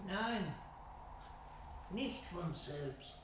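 A woman's voice: fairly low pitch, expressive, very slow, background noise. A woman playing a tiny, bitter, fearless young circus dwarf in a 1950s German radio play, blunt and darkly matter-of-fact. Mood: evasive, cool.

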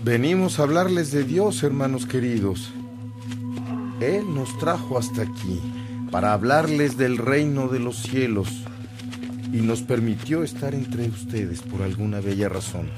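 An actor playing a devout, gentle missionary priest, earnest and humble, in a radio drama. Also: male voice, mid-range voice, lively intonation, measured pace, over music, natural voice. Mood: earnest, kindly.

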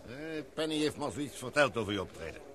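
An actor playing an older, authoritative English gentleman who talks in a calm, courteous, commanding way in a radio play. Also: mid-range voice, fast talker, natural voice.

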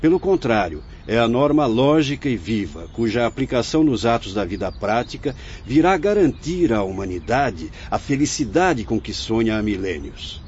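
A man acting a wise, patient spirit mentor in a radio drama, with a Brazilian accent and measured, preaching delivery. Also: mid-range voice, big pitch swings, a little mellow, natural voice. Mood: earnest, assured. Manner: measured, preaching, formal oratory. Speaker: actor. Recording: dull lo-fi radio recording, background noise.

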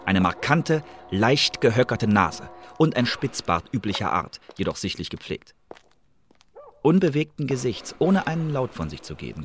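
A low-pitched male secret agent in a radio drama, reporting in the first person. He is guarded and exact, choosing each formal phrase deliberately. A layer of noise sits behind the voice.

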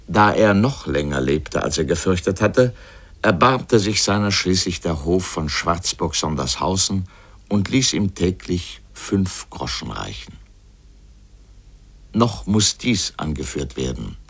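Deep voiced guy reading a literary radio essay, kinda sardonic and emphatic. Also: animated, takes it slowly, a bit bright, husky. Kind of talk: scripted literary radio narration.

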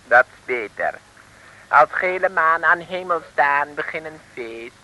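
Excitable islander, mock chinese accent, pidgin, bright piercing voice, old radio serial. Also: male voice, on the high side, measured pace, full character voice, poor audio. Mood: proud, emphatic. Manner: pidgin phrasing, emphatic. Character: excitable Chinese islander, proud festival-goer. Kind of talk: 1950s Dutch radio serial acting. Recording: old radio drama recording.

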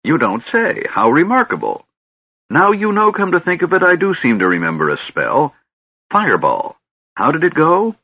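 A high, quavering old male wizard, befuddled and scatterbrained but with a shrewd streak. The voice swoops around and sounds husky. The recording is slightly dull and lo-fi. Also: huge pitch swings, moderate pace, heavily acted. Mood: wistful. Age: older. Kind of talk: audiobook character voice.

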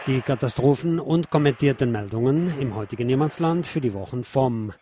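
A man presenting a news programme, reading commentary aloud in a measured, formal way. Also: medium pitch, heavy background noise.

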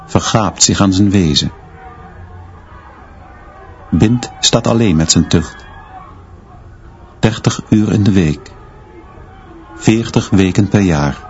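An actor playing a stern, austere schoolteacher who narrates a radio drama in terse, measured, clipped sentences. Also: male voice, low voice, darkish tone. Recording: dull sound, noise and murmur behind.

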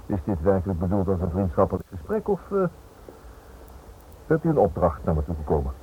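An actor playing a stubborn, suspicious Dutch writer in a radio play, wry and probing with hesitant pauses, on an old thin recording. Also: male voice, deep voice, lively intonation, narrow, tinny audio, a little hiss or hum. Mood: defiant.

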